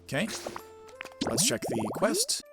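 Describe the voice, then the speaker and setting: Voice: medium pitch, wildly swooping pitch, slow pace, over music. A gaming video creator giving casual gameplay commentary, thinking aloud in a self-critical way. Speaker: gaming video creator doing character voices.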